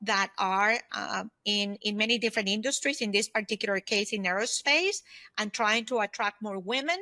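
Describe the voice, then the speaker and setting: Female, 50s, interview guest. Bright, forward voice, slightly high, dry close sound, earnest explainer. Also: moderate pace, no echo. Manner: earnest, explanatory, often checks in with "right?". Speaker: podcast guest, consultant and former aerospace executive. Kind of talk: conversational interview answers.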